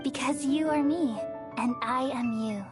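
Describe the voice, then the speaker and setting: Game role: ancient wise female deity, high gentle voice, calm reassurance, music underneath. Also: unhurried. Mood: serene, reassuring. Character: serene, wise female deity, remnant consciousness of a dead ruler. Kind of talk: scripted video game voice acting. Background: background music.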